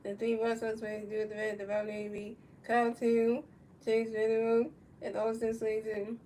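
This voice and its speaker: A woman calls a baseball video game in an extremely flat, unchanging tone, repeating set phrases and restarting lines as she goes. She sits some way from the microphone, and a faint hiss runs underneath. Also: fairly high pitch, moderate pace. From United States. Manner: repetitive, stumbling calls, talks to chat viewers. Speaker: video game play-by-play commentator. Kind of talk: casual play-by-play commentary of a baseball video game. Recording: distant mic with faint background noise.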